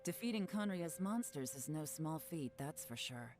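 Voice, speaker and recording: A composed investigator woman with a very low voice, calmly reasoning her way through clues. Faint game music hums underneath. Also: normal speed. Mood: cool. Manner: calm, analytical, low-key. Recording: game cutscene with soft music.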